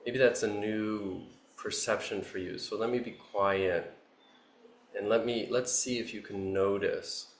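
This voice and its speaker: A man teaching a guided meditation, giving gentle, unhurried instructions in short phrases with long pauses between them. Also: fairly low pitch, fairly even intonation. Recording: roomy echo, faint background noise.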